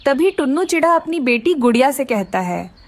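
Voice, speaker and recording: A woman's voice: high-pitched, sing-song, normal speed. A woman narrating a scripted children's story.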